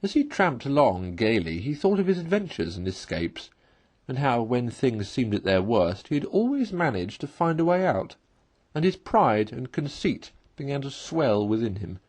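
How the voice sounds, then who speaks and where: Guy reading a storybook out loud, super animated narration, bit of a husky voice. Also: medium pitch, normal speed. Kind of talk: expressive read-aloud narration.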